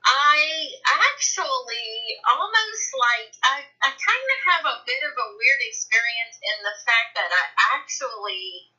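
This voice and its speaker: A woman in her thirties, a client on a phone call, talking haltingly and filling her speech with "like". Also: on the high side, animated, pushed and bright. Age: 30s. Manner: halting, lots of "like" fillers. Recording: dull lo-fi phone line.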